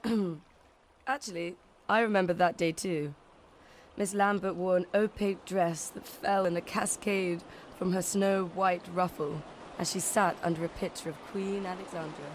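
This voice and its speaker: A woman's voice: on the low side, steady tone, measured pace, a little hiss or hum, close to an everyday voice. A woman acting a sociable character who recalls girls' school in a literary drama. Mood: reminiscent, teasing.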